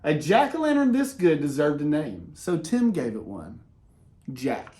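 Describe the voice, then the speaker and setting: A man reading a children's picture book aloud in an expressive way, with dramatic pauses and casual, conversational delivery. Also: a little high. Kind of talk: animated read-aloud with casual asides.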